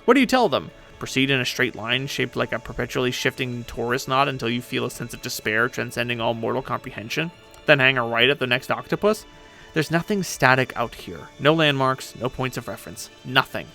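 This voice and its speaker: A man's voice: mid-range voice, bright, forceful tone. A man voicing a brash, sarcastic, boastful female pirate ghost in a dramatic story reading. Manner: impatient, sarcastic, swearing.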